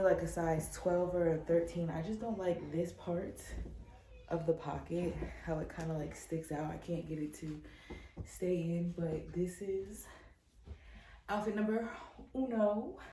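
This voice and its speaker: A woman's voice: on the low side, dark tone, slight background noise. A young woman vlogging in casual chat, sounding nasal from a head cold and talking in a chatty, upbeat way full of fillers.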